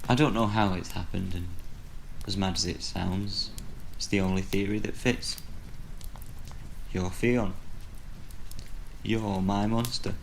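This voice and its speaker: Low, gravelly man acting a brooding storyteller. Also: steady tone, slow, long pauses, full character voice, rough recording. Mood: accusing, bitter. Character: weary, bitter retired horror storyteller confronting his creation. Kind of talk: audio drama monologue and dialogue. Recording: faint background noise.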